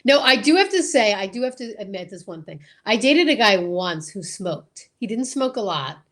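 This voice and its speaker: A woman's voice: medium pitch, very animated, normal speed. A woman co-hosting a casual chat show, emphatic and often repeating a phrase to drive it home. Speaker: show co-host.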